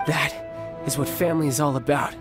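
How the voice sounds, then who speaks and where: An actor playing a cocky, devoted young warrior and big brother in a video game, speaking with smooth, theatrical confidence. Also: male voice, fairly high pitch, steady tone, normal speed, close to an everyday voice. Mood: proud, reflective. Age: young adult. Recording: background music over studio voice. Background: loud game music.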